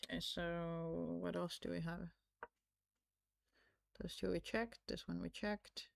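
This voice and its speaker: A woman's voice: lowish voice, steady tone, slow pace, gravelly, dry recording, studio quality. A woman chatting casually and agreeably as a chess co-commentator. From Estonia.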